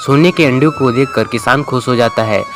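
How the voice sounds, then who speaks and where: A man's voice: medium pitch, moderate pace. A man narrating a scripted moral tale as a storyteller. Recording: music bed under the voice.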